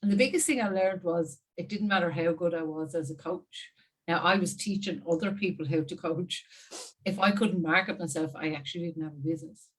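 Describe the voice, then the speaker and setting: A woman in her fifties with an Irish accent, telling her story as an interview guest in a chatty, animated way with frequent laughs. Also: fairly low pitch, fairly quick, husky, rough recording. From Ireland. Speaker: guest marketing strategist. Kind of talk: casual interview talk.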